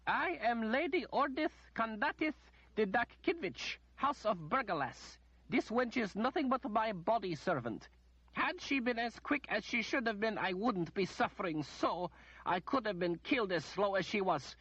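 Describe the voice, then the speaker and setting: Shrill haughty noblewoman as a male storybook reader puts her on, shrieking, very high, muffled dry sound. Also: expressive, normal speed, a bit bright, breathy edge, no echo, exaggerated voice. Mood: imperious. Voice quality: shrieking, petulant.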